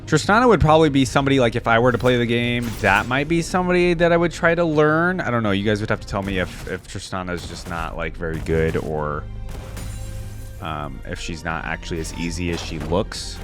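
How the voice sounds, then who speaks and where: A man's voice: on the low side, brisk, a bit bright, soft background music. A man doing casual, chatty reaction commentary, reading aloud and commenting informally to his viewers.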